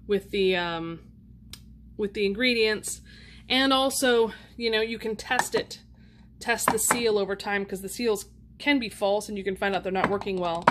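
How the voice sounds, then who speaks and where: A woman talks at a middling pitch in a casual, rambling vlog style. She is chatty and a little self-deprecating, making it up as she goes. The handheld home recording has noticeable background noise. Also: a bit bright. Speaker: home canning vlogger. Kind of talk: casual unscripted show-and-tell. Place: indoors at home. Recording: clear background noise, handling clicks.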